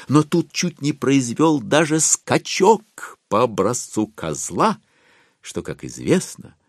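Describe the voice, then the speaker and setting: An actor as a wry narrator, reading a classic novel as dramatic literary storytelling. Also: male voice, fairly low pitch, creaky, breathy edge, dry recording, studio quality, exaggerated voice. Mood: ironic.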